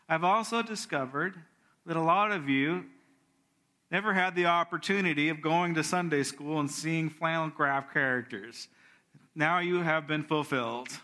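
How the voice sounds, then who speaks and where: A middle-aged pastor with a bright, forceful voice, talking loosely to his congregation. He restarts phrases often and slips into a softer tone when he prays. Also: on the high side. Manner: conversational, self-correcting, prayerful. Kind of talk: sermon with announcements and prayer.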